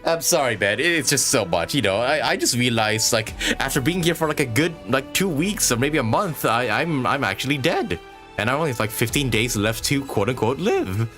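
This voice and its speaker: A man's voice: medium pitch, big pitch swings, brisk, a bit bright, soft background music. A man livestreaming casual gameplay commentary in an irreverent, profane, joking manner.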